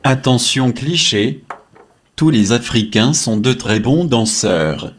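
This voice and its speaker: Slow, emphatic male announcer over music. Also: medium pitch, lively intonation. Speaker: segment announcer.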